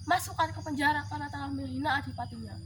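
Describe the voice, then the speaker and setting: A woman's voice: high voice, racing, natural voice. A woman voicing a furious, haughty male regent in a storytelling piece, scolding and commanding with indignation. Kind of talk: dramatic storytelling character voice. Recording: clearly noisy background.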